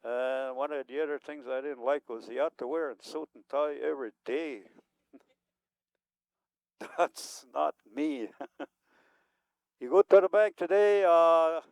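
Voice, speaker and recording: A man's voice: fairly high pitch, unhurried, long pauses, a little mellow, clean tone, no echo. A man in his seventies giving rambling, unscripted remarks at a community event, chuckling often as he talks. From Manitoba, Canada. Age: 70s.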